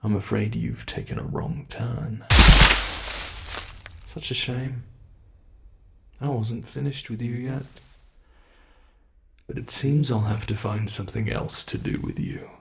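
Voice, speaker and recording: A man's voice: medium pitch, steady tone, slow, creaky, long pauses, background noise. A man voicing a centuries-old predatory monster in an ASMR roleplay, theatrical and taunting, savoring each phrase with haughty, possessive menace. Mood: mock regretful.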